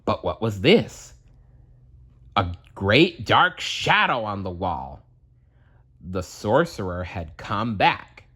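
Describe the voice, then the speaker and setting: A man reads a children's story aloud with deliberate drama. His voice is husky and unhurried, and the intonation rises and falls very widely. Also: lowish voice, fairly bright tone. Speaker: storybook narrator. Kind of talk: children's read-aloud narration.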